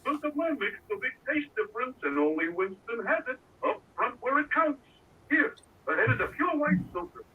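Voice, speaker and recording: A man's voice: extremely high pitch, big pitch swings, a little fast, recorded from a distance, phone-quality sound. An actor playing a friendly, scheming cartoon man selling cigarettes in chummy salesman patter on noisy vintage TV audio. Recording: noisy old TV audio, radio-like.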